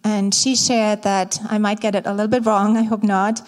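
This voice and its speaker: A woman's voice: medium pitch, level tone. German-accented woman preaching in a reverberant hall. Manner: warm, candid, slightly halting with fillers. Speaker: guest speaker, ministry staff. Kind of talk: sermon to a church congregation. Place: church sanctuary. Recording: long reverb of a large church hall.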